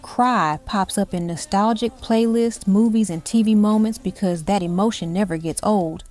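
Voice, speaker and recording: A woman's voice: medium pitch, moderate pace, a little mellow. A woman reading scripted documentary-style narration for a music countdown, in dramatic, punchy short phrases. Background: music behind the voice.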